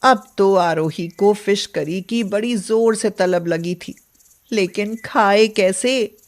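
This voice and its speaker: A woman's voice: medium pitch, takes it slowly. A woman narrating a scripted moral story as a storyteller.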